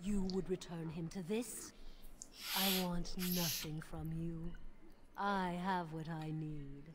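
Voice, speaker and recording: An actress playing a calm, defiant, cunning female demon in a fantasy video game, with measured, formal phrasing. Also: on the low side, noisy background. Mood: calm defiance.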